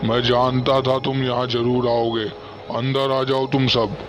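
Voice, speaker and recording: A man voicing a knowing old village holy man in a cartoon horror story, slow and grave. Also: mid-range voice, little pitch movement, natural voice. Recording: dull lo-fi audio under music.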